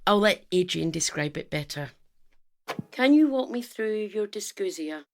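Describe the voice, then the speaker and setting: An actress playing an earnest female doctor in a radio drama, speaking in a measured, slightly hesitant clinical manner. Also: medium pitch, lively intonation. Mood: clinical, probing. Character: earnest, careful female doctor presenting a case study. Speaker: actor in a radio play.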